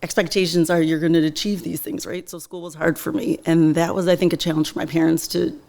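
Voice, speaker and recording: A woman's voice: low voice, flat delivery, quick pace, creaky, warm tone. A woman in her forties, Vietnamese, a teacher telling her story earnestly in a casual interview. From United States. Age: 40s. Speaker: guest, teacher and business owner.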